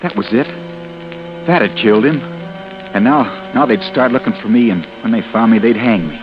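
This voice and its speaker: An actor playing a desperate man who killed by accident, brooding to himself in a hushed inner monologue, in 1940s radio acting. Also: mid-range voice, lively intonation, moderate pace, natural voice. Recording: muffled old radio recording with music.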